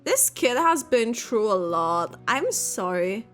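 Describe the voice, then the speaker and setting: A woman's voice: on the high side, very animated, slow pace, fairly bright tone, faint music behind. A woman streamer giving casual reaction commentary on a game, often echoing dialogue in short asides.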